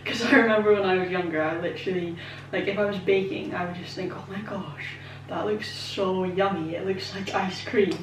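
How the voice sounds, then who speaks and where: A woman's voice: lowish voice, steady tone, fairly quick, far from the mic, noisy background. A young Scottish woman in her twenties chatting casually in a home kitchen as she bakes, rambling and self-deprecating with lots of ums and likes. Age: 20s. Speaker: vlogger. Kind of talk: casual baking vlog chat. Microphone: camera set up away from her. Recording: echoey kitchen, voice from off-mic.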